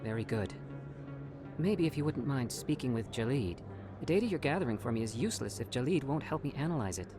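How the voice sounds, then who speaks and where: An actor voicing an eager, evasive alien scientist in a video game, with a persuasive, self-justifying manner. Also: male voice, mid-range voice, lively intonation, fairly quick, over music, lightly acted. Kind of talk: video game character acting.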